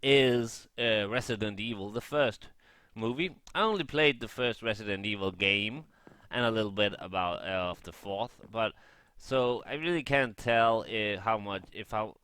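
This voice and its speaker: A man giving casual, unscripted gaming commentary in a halting way, often rephrasing and correcting himself. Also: fairly low pitch, bright, forceful tone, clear, resonant tone, no room sound. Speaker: gaming vlogger.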